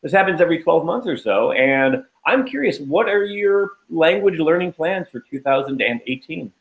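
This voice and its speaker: A man's voice: on the high side, animated, moderate pace, vocal fry, poor audio. A man co-hosting a casual chat show, asking questions in a jokey, lightly formal way. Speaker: podcast co-host.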